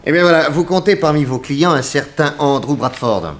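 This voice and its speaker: A man's voice: on the high side, moderate pace, lightly acted. An actor playing a composed, courteous male private detective in a 1960s French radio drama, asking polite, probing questions without hurry. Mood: courteous, inquisitive.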